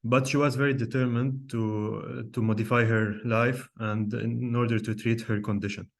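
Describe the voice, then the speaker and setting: A man's voice: medium pitch, little pitch movement, moderate pace, vocal fry. A young man from Lebanon, a cardiologist, giving a live online lecture over a video call, hesitant with many fillers and restarts and questions to his audience. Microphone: computer mic on a video call.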